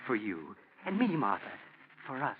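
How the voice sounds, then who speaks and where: A man's voice: mid-range voice, huge pitch swings, moderate pace, breathy edge. A man acting a meek, flustered, henpecked middle-aged husband who stammers and apologizes in a 1940s radio drama. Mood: meek, placating. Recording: dull, noisy 1940s radio recording.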